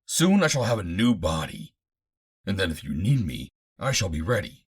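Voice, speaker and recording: A man's voice: low-pitched, big pitch swings, moderate pace, husky, exaggerated voice. A man voicing the living severed head of an artificial man, eager and loyal, calling out in exclamations. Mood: hopeful.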